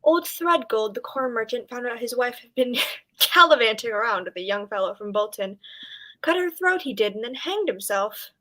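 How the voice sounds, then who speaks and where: A woman's voice: fairly high pitch, expressive, normal speed, sharp tone. A woman playing a gossipy village innkeeper, chatty and dramatic, in an old-time radio drama. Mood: gossipy relish. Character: Miss Mickle, gossipy female village innkeeper relishing grisly news.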